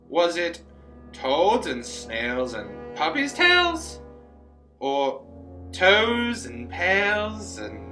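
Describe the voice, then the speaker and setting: Forgetful old witch acted by a man, rueful storybook character, high pitched and very slow, theatrical delivery. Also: bright, forceful tone, exaggerated voice. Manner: slow, drawn-out, theatrical verse recital. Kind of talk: dramatic poem recitation.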